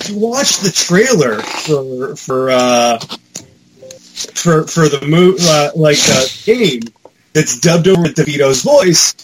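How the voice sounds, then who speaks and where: A man with a slightly high voice chats casually as a podcast co-host. His delivery swings widely in pitch and rambles with a fan's enthusiasm and the odd mild curse. There is faint noise in the background. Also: takes it slowly. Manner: animated, rambling, mildly profane fan commentary. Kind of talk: casual pop-culture chat.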